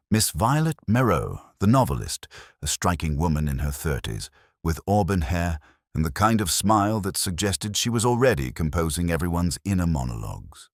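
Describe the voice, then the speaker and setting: A man narrating a scripted story in a measured, formal manner. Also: low-pitched, no echo, studio quality. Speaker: audiobook narrator.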